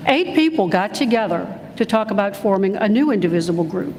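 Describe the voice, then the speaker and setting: A woman's voice: medium pitch, lively intonation, fairly quick, darkish tone. A woman giving a prepared speech as an activist group speaker at a public event. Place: large church hall, live event. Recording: noisy, reverberant hall PA recording.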